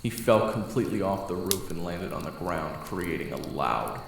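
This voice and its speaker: Low guy narrating a roleplay story, super echoey, music playing under. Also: very animated, moderate pace, gravelly, a little hiss or hum. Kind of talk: second-person roleplay narration. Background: background music.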